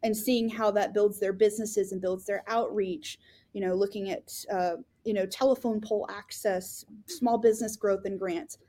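Woman on a podcast, earnest, lots of ums, mid-pitched voice. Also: fairly even intonation, moderate pace, hardly any pauses, warm tone. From Florida. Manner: earnest, many ums and restarts. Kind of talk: casual conversational storytelling.

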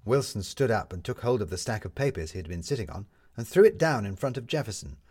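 A man narrating a scripted story as an expressive storyteller. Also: fairly low pitch, quick pace, slightly rough.

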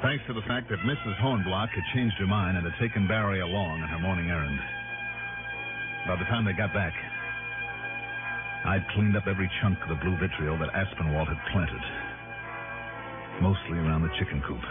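An American actor playing a tough insurance investigator in a 1960s radio detective drama, clipped and hard-boiled. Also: male voice, low voice, a little fast, pauses often, natural voice. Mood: wry, confident. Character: tough male insurance investigator narrating his case. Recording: old narrow-band radio recording, music behind.

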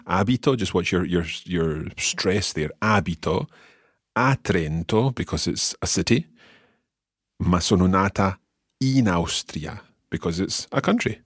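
A man teaches on a language podcast in a low, slightly husky voice. He explains slowly and patiently, with a lot of encouragement, and the recording is dry and close. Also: expressive, no echo.

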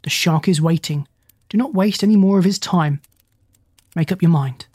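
A woman voicing a kindly but stern male animal doctor in a children's storybook, speaking in measured, polite commands. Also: fairly low pitch, warm tone, breathy edge, dry recording.

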